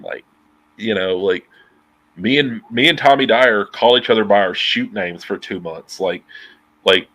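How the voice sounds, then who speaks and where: A nasal man in his thirties, chatting loose and profane on a video call. His tone is bright and forceful, sitting on the lower side of a man's range. He picks up over earbuds from a dim room at home. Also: rough recording. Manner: profane, animated, gesturing a lot. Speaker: guest. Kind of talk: casual interview chat.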